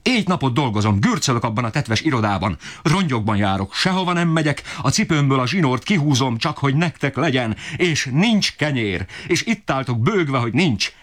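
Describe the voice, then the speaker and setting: Man voicing a raging, shouting poor father. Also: sits low, pitched above the performer's own voice, lightly acted. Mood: furious shouting. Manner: bellowing, furious outbursts.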